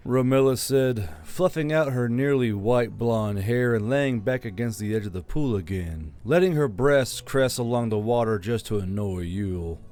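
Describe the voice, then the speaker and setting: A man's voice: mid-range voice, animated, moderate pace, hardly any pauses, darkish tone. A man reading scripted fiction as an audiobook narrator.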